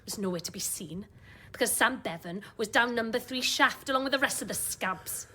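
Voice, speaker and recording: High female voice, principled doctor's wife, combative, sardonic, radio drama. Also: moderate pace. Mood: indignant. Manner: combative, sharp-tongued, sardonic. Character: defiant, principled doctor's wife, woman strike supporter. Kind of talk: naturalistic radio drama dialogue.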